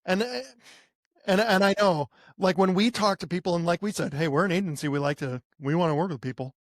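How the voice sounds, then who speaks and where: A man's voice: high voice, a little fast, dry recording, clean studio sound. An American man co-hosting a podcast in casual talk, conversational and given to posing rhetorical questions. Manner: conversational, poses rhetorical questions and voices imagined clients. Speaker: podcast co-host, marketing agency owner.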